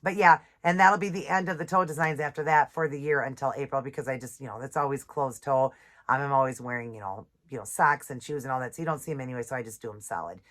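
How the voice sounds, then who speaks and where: A woman with a low, stuffy voice, still getting over a cold. She chats casually to her viewers. She reads devotional lines at an unhurried pace. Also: fairly bright tone. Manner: chatty, reads scripture slowly, apologizes often. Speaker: nail and devotional vlogger. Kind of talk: casual chat with devotional reading. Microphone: ring light setup, camera close.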